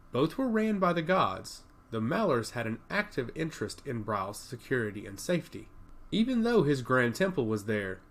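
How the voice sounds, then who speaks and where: A man delivers scripted fantasy narration at a middling pitch. His delivery is formal and measured, yet the intonation moves widely and keeps the storytelling animated.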